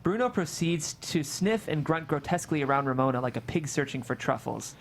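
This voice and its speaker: A woman narrates stage directions at a script reading. Her delivery is expressive storytelling. A noticeable hum and noise run behind the voice. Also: deep voice, a little fast.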